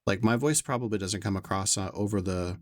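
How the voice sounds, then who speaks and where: A man streaming a game from his bedroom, chatting to his viewers in long, rambling asides in an upper bass voice, through a desk microphone with noise suppression. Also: a little fast, no room sound. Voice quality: upper bass to lower tenor range. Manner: rambling, talks to chat in long winding asides. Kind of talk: casual chat while streaming a game. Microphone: desk USB condenser mic with noise suppression.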